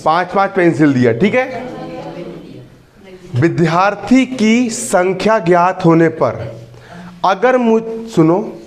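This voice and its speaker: A man's voice: sits high, lively intonation, slow, long pauses. A man in his early twenties teaching a maths class. He keeps repeating questions to the students and teases and scolds them. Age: 20s. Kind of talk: classroom lesson. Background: students in the room.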